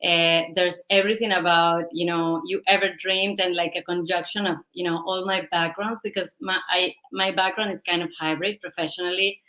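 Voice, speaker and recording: A woman speaks as a founder being interviewed. Her voice sits fairly low, and she rambles on with enthusiasm, full of fillers. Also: steady tone, moderate pace, hardly any pauses, bright, forceful tone, rough recording. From Venezuela and Spain. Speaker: company founder, guest. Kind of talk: interview answers.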